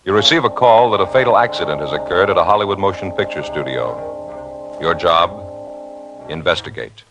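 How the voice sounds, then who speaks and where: Grave, formal 1950s radio announcer. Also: male voice, deep voice, expressive, normal speed, lo-fi sound, over loud music.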